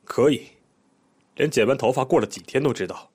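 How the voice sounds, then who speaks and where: An actor playing a composed male police detective in an audio drama, explaining things in a measured, methodical, polite way. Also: mid-range voice, darkish tone, breathy edge, lightly acted. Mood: polite, probing. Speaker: police investigator questioning a witness.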